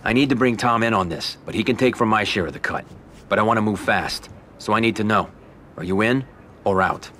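A man playing an ambitious, composed young mobster in a video game cutscene, measured and businesslike. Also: fairly low pitch, bright, forceful tone, a little hiss or hum. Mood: firm, driven. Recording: game audio with background ambience.

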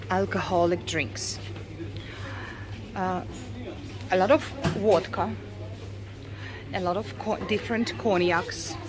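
A Russian woman with a Russian accent, talking casually as a vlogger while she walks through a grocery store, halting often with "uh" and restarts. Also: medium pitch. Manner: unhurried, halting, frequent uh and restarts. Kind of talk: casual shopping vlog walk-through. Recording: clear store background noise.

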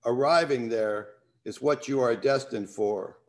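An older man hosting from his home study over a video call, talking in a reflective way and reading a poem aloud. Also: medium pitch. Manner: unhurried, reflective. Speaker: host. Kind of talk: casual talk and poetry reading. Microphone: video call webcam.

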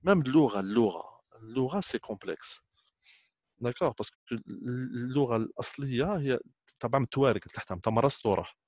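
Fast-talking Algerian man, informal. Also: mid-range voice, lively intonation, darkish tone, dry recording. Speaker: trainer speaking to students. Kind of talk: informal talk to camera, switching between French and Arabic.

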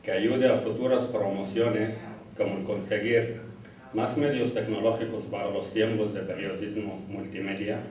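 A man's voice: lowish voice, darkish tone, distant mic, a little hiss or hum. A middle-aged man giving a formal graduation speech, reading from notes at a lectern in a classroom. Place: indoor classroom, standing at a lectern. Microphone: lectern mic, filmed by a far camera. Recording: echoey room heard from afar.

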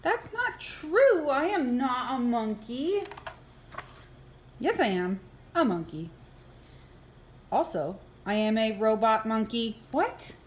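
A woman reading a children's picture book aloud in an expressive, playful way, with exaggerated reactions. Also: a little high, slow pace, pauses often, slight background noise. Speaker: early childhood program coordinator reading aloud. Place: indoors, filmed over an open book.

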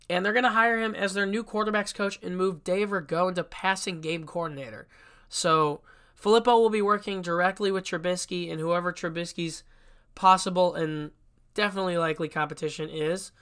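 Young male podcast host, congested from a cold, fairly high and bright, casual and blunt. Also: clear, resonant tone. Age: young adult. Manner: chatty and blunt, with frequent restarts. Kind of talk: casual solo sports podcast.

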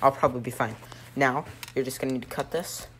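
Teen boy host, deadpan joke cooking show, phone-filmed in a kitchen, hissy audio. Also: mid-range voice, quick pace. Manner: deadpan, rambling, with ums and mock instructions. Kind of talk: joking mock cooking show. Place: home kitchen, indoors. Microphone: phone camera held by a friend. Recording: noisy home phone video.

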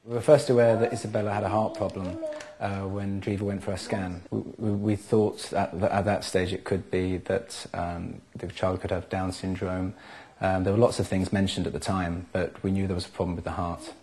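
A man, giving a halting personal account in an interview at home. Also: sits low, flat delivery, dark tone. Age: 30s. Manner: hesitant, halting. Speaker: father telling his family's story. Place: living room at home, indoors.

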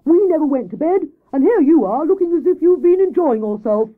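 A woman voicing an anxious, excitable male hare in a children's story, speaking in an urgent, exclaiming way. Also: sits high, a little fast, phone-quality sound, voice pushed up. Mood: cross.

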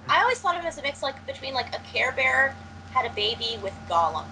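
A woman's voice: high voice, very animated, bright, forceful tone, slight background noise, rough recording. Wry young woman giving film opinions. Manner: quick, wry and teasing, articulate opinions. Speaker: podcast co-host. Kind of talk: casual film discussion. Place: home room, indoors.

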